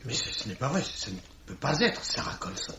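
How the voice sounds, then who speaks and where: A man's voice: mid-range voice, big pitch swings, brisk, gravelly, bright, forceful tone, slight background noise, exaggerated voice. An actor playing a sanctimonious, stammering villain who is losing his composure in a 1950s-style French radio mystery. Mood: flustered. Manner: stammering, sententious. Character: sanctimonious murderer, flustered, scripture-quoting male mentor.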